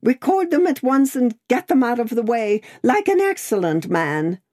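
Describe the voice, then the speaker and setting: A woman's voice: fairly low pitch, sing-song, normal speed, darkish tone, voice pushed up. A woman voicing an agitated, prim and devoted Englishwoman in a storybook reading, urgent and tearful. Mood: tearful, distracted. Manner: urgent, exclaiming, prim and formal.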